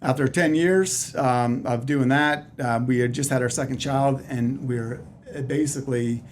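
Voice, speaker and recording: A man's voice: mid-range voice, fairly even intonation, normal speed, slight background noise. A man in his forties with a Canadian accent, a chiropractor chatting casually as an interview guest, rambling through stories with dry, self-deprecating humor.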